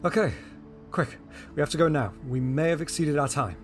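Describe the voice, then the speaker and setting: A man's voice: fairly high pitch, normal speed, soft background music, natural voice. An actor playing a cautious, helpful young man in an audio drama, explaining things earnestly and easily flustered. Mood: earnest, urgent.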